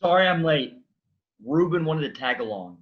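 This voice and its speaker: An actor playing an awkward, sheepish Lazarus in a staged comedy reading, hesitant and dry. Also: male voice, a little high, expressive, moderate pace, fairly bright tone, highs cut off. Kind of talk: staged reading of a comic play.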